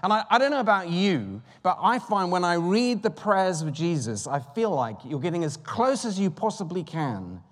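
A man's voice: sits high, sing-song, moderate pace, hardly any pauses, in a large hall. A man in his fifties preaching a sermon in a chatty, self-deprecating way, with rambling asides. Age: 50s.

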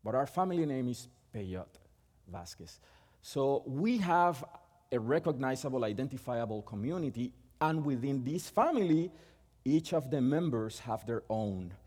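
A middle-aged man from Puerto Rico with a Puerto Rican accent, Spanish being his first language, preaching a sermon in a halting way with many restarts. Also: mid-range voice, lively intonation, a little mellow, big-room sound.

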